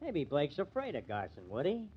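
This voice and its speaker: Loyal, needling American teammate, rough-edged, fairly high voice, wisecracking, vintage radio crime drama, dull sound. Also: male voice, wildly swooping pitch, normal speed, exaggerated voice. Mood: protesting. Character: loyal, goading ballplayer pal. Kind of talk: old-time radio crime drama acting. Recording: dull old-time radio recording.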